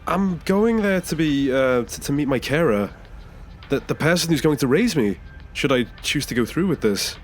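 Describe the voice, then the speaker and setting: A man acts out a nervous male taxi passenger in a sci-fi audio play. His voice sits fairly high and moves around a lot in pitch. He is halting and defensive, tripping over his words. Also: animated, a little hiss or hum, close to an everyday voice. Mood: hesitant. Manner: stammering, hesitant, halting. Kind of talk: science fiction audio drama acting.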